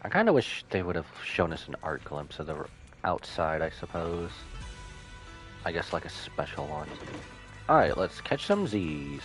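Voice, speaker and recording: A man's voice: low voice, sing-song, normal speed. A man live-streaming a game, reading along and reacting playfully, often repeating phrases for emphasis. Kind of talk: live stream commentary with dialogue read-aloud. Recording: game music behind voice. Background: game music.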